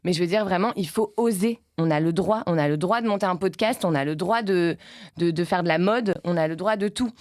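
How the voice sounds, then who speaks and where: A woman's voice: on the low side, a little fast, darkish tone, no room sound, clean studio sound. A woman in her late thirties, an actress and producer, talking casually in an interview, rambling with many restarts. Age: late 30s.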